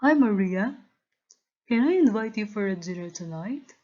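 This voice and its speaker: A woman voicing a nervous, lovesick young man in a cartoon story, earnest and halting. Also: medium pitch, animated, darkish tone, lightly acted. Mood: nervous, eager. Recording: dull, lo-fi voice recording.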